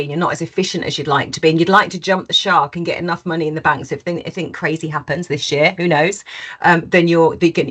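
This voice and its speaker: A woman's voice: fairly low pitch, a bit bright. A woman hosting a webinar in an informal, chatty style, with colloquial sales patter. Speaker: webinar host.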